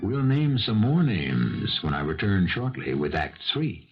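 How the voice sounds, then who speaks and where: Male radio host, low-pitched, hugely swooping delivery, portentous, wry, scripted narration, loud music behind. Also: sing-song, measured pace. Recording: muffled old radio broadcast.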